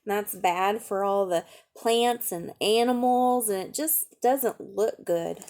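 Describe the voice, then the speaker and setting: A woman reading aloud to children as a storytime teacher, gently explaining and asking questions along the way. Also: mid-range voice, takes it slowly.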